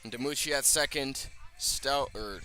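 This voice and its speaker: Bright-voiced male baseball play-by-play announcer. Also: medium pitch, measured pace, soft background music. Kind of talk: live baseball play-by-play commentary. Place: outdoors at a ballpark, field level by the first base line.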